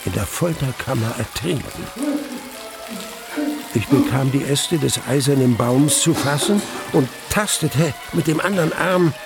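A man's voice: mid-range voice, vocal fry. An actor playing a young nobleman who narrates his ordeal in a grave, suspenseful radio play style. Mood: despairing. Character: young nobleman recounting his near-drowning in terror. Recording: music behind, running water sounds. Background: music and trickling, pouring water.

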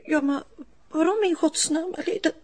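Homely Flemish mother, high voice, worried, emotional, agitated, radio drama. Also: moderate pace, a little mellow. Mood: emotional, insistent. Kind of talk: audio drama acting.

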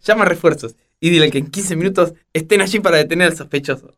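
A man's voice: a little high, quick pace, a bit bright. A man voicing a commanding police chief in a parody of dubbed action-film dialogue for radio comedy.

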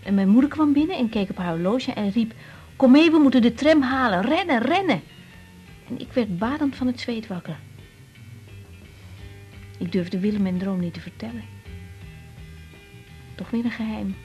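A woman's voice: a little high, lively intonation, moderate pace, long pauses, darkish tone, slightly rough, dull audio, soft background music. An actress playing a harried, emotional young woman doctor in a radio drama, speaking expressively. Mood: confiding, troubled. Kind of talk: Dutch radio drama acting.

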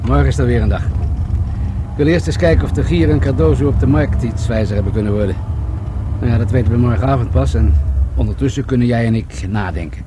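An actor playing a musing, wry senior police detective in a Dutch radio drama, with a Netherlands accent and a conversational, hesitant delivery. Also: male voice, mid-range voice, fairly quick, close to an everyday voice. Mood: resigned. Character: musing, fair-minded senior police detective, married man. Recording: radio play with loud street traffic noise.

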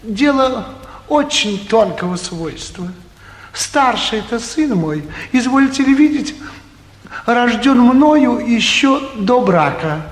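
A man's voice: very high-pitched, lively intonation, slow, heavily acted. An actor playing a fussy, timid provincial landowner and doting father, hesitant, roundabout and deferential, in theatrical 1940s comedy style. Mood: pleading, deferential. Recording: echoey 1949 theatre radio production.

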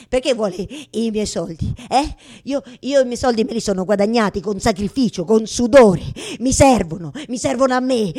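An actress playing a bitter, self-mocking woman who argues with God in a halting, repetitive theatrical monologue. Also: high voice, darkish tone. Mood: angry, desperate. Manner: repetitive, halting, confessional, swinging from whispers to outbursts. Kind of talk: theatrical monologue, radio drama.

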